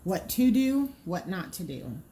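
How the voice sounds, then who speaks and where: A woman's voice: lowish voice, sing-song, measured pace, dark tone, slight background noise. A woman giving an informal walkthrough as a trainer, practical and explanatory, often thinking aloud. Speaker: trainer presenting draft dispatcher training cards.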